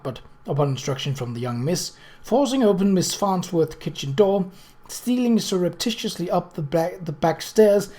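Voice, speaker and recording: Higher-pitched man reading a story. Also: a little mellow. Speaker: audiobook reader. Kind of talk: scripted storybook narration.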